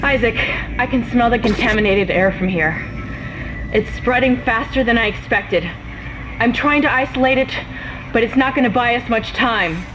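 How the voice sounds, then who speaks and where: An actress playing a worried but capable crew technician, speaking tersely and urgently over radio in a video game. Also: female voice, fairly high pitch, normal speed, background noise, lightly acted. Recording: muffled radio link, music behind.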